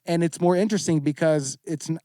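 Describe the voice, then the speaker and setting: A man's voice: a little high, brisk, warm tone, no room sound, studio quality. A man in his thirties talking candidly and earnestly as a podcast guest, reflective and full of casual slang. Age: 30s. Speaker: podcast guest, fitness competitor.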